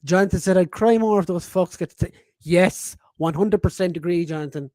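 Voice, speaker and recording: A man's voice: high voice, a little fast, darkish tone, dry recording, studio quality. A man in his forties hosting a casual live-stream podcast, reading chat messages aloud, laughing often and swearing freely. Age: 40s.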